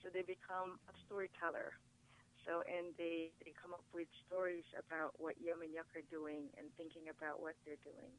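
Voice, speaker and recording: A woman's voice: fairly low pitch, little pitch movement, normal speed, rough recording. A woman from the Philippines whose first language is Tagalog, talking eagerly as a guest author in a TV studio interview. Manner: upbeat, eager explaining. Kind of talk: casual interview talk.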